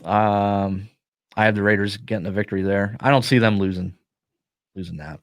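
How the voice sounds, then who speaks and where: A low-voiced man chatting through his football predictions on a podcast, voice worn and starting to give out. The sound is dry and close, with no room around it, and he delivers his picks with a joking, opinionated edge. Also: steady tone, moderate pace, no room sound. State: losing his voice. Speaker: podcast host. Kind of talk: casual sports podcast chat.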